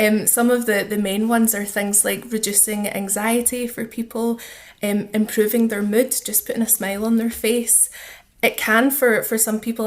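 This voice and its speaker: A woman with a Scottish accent speaking as an interview guest, explaining things informally with examples. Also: medium pitch. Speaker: charity communities officer, interview guest.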